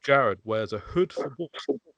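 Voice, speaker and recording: A man's voice: medium pitch, big pitch swings, takes it slowly, gravelly, a bit bright, no echo, muffled recording. A man narrating stage directions from a script in an amateur table read.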